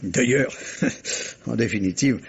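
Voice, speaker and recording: An actor playing a wry police inspector in a vintage French radio mystery, measured and theatrical, explaining a case with teasing rhetorical questions. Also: male voice, medium pitch, vocal fry, breathy edge, heavily acted. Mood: measured, reassuring. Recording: dull, muffled vintage radio recording.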